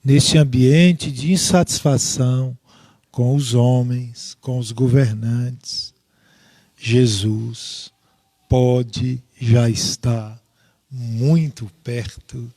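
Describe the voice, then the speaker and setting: Brazilian guy teaching bible study, really slow n halting, slightly high voice, dry sound. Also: steady tone, mellow, no room sound. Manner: deliberate, halting, with frequent rhetorical check-ins.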